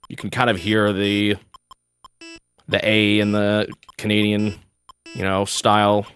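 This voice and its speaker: Young man from the US, fairly low voice, rambling casual chatter, frequent laughs, faint game music behind. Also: fairly bright tone. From United States. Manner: rambling, chatty, laughs often, restarts sentences. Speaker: gaming streamer. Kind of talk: casual chat while playing a game.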